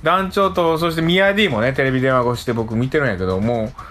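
A man hosting a radio show in casual, conversational chat, good-natured and quick to protest. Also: medium pitch, fairly bright tone, faint music behind.

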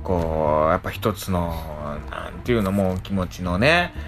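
A man's voice: sits low, normal speed, creaky. A man co-hosting a radio show in casual chat, often trailing off mid-phrase and seeking agreement. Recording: background music under the voice. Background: music.

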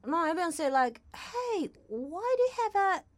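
A woman's voice: very high-pitched, big pitch swings, moderate pace, dry recording. A Japanese woman co-hosting a radio show, speaking simple, broken English with a Japanese accent tinged by New Zealand, answering in short bursts. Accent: Japanese, with New Zealand influence. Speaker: radio co-host. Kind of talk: casual radio chat. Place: radio studio.

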